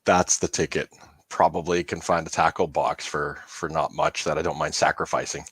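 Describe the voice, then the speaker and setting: Deep-voiced man, dry recording. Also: flat delivery, moderate pace, a bit bright, breathy edge, no echo. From Canada. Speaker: podcast panelist. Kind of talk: casual chat.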